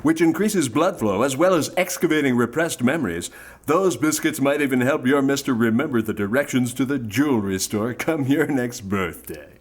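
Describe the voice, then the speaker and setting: A slick old-time variety show pitchman acted by a man delivers smooth, folksy sponsor patter. His pitch is middling but very animated, rising and falling as he sells. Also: lightly acted. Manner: smooth-talking salesman patter, rhetorical questions. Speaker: show announcer.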